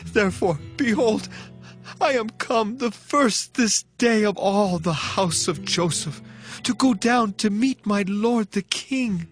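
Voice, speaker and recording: An actor playing an abject, pleading penitent in a dramatized scripture audio drama, humble and supplicating. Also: male voice, high voice, takes it slowly, faint music behind. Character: Shimei, abject pleading male penitent subject.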